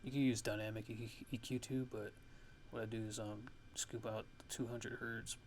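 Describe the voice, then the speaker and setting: Male ASMR tutorial host, low and monotone, casual and halting, unscripted feel, noisy recording. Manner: halting, loose, unscripted explaining. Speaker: music production tutorial host.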